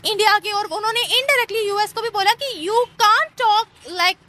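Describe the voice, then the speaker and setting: High bright-voiced Pakistani woman interviewer. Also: unhurried, slight background noise. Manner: probing, long leading questions. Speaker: street interviewer. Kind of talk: vox pop political interview.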